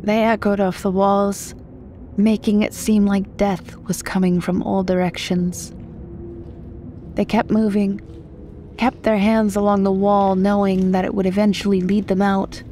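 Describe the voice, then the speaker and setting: A woman's voice: mid-range voice, flat delivery, unhurried, dark tone, a little hiss or hum. A woman from the United States reading scripted horror fiction as a story narrator.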